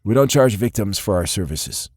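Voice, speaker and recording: A man voicing a calm, big bodyguard. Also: on the low side, normal speed, no room sound, studio quality. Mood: matter-of-fact.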